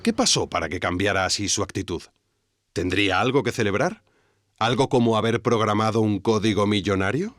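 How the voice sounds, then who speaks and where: An actor playing an earnest, self-satisfied investigative podcast host, narrating a mock documentary. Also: male voice, fairly low pitch, expressive, brisk, a bit bright. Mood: earnest, intrigued.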